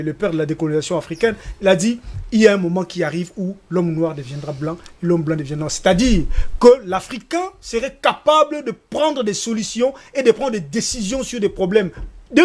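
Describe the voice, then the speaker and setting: High-voiced hesitant Congolese panelist man. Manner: hesitant, repeats words, animated. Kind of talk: televised debate.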